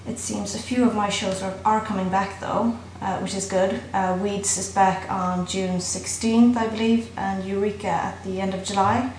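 A woman's voice: mid-range voice, steady tone, moderate pace, hardly any pauses, a little mellow. Swedish woman, accent mixed with Irish and London, rambling caller, roomy recording with faint hiss. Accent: Swedish with an Irish and London mix. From Sweden. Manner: chatty, self-deprecating, rambling. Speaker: caller leaving a voice message. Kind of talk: recorded listener message.

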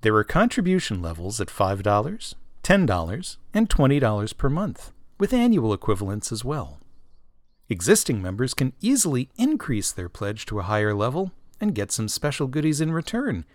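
Man, announcer, scripted promo read, mid pitch, animated intonation, dry studio-like sound. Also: moderate pace, no room sound.

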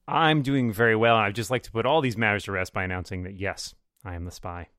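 A man's voice: on the low side, fairly quick, fairly bright tone, no echo, clean studio sound. A man bantering casually as a game show panelist in a wry, joking way.

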